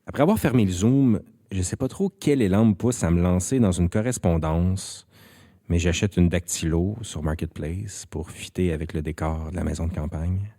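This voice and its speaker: A man's voice: low-pitched, moderate pace, dark tone. A man with a Quebec French accent narrating a first-person story in a wry, understated way. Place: recording studio. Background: faint music.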